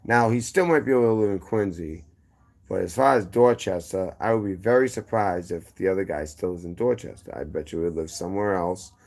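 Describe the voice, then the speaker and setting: A man's voice: low-pitched, fairly quick, creaky, darkish tone. A man with a strong Boston accent, from the city's inner neighborhoods, telling his story as a guest in a rambling, tangent-filled way that keeps circling back and correcting itself. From inner-city Boston. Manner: rambling, drifting between tangents and correcting himself. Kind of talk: casual storytelling.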